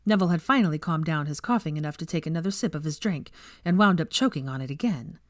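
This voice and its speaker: A woman's voice: low-pitched, expressive, fast talker, no room sound, clean studio sound. A woman reading a story aloud as narrator, in scripted audiobook style. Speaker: fan fiction narrator.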